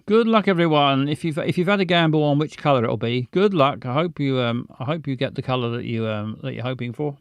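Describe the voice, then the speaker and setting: A man's voice: medium pitch, fairly quick, few pauses, smooth, no echo, highs cut off. Rambling man hosting a stream. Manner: stammering, rambling, self-mocking. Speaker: livestream host. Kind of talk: casual chat with viewers.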